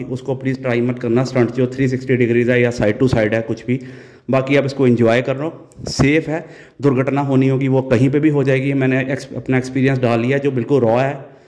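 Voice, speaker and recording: A man's voice: medium pitch, a little mellow. A man giving practical advice in a casual, explanatory vlog voice-over. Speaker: vlogger.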